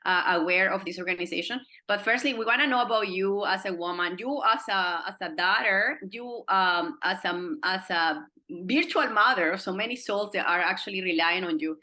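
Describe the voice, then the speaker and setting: Bright-voiced woman hosting a podcast. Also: medium pitch. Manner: encouraging, rambling questions with restarts. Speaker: podcast host. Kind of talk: interview questions.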